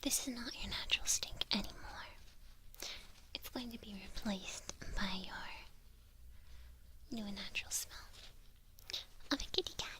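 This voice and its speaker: Witch, acted by a woman, ASMR roleplay: tender and soothing, bright breathy whisper, unhurried and caring. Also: fairly high pitch, low-quality recording. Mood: admiring, doting. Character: doting young-sounding witch tending her cat familiar.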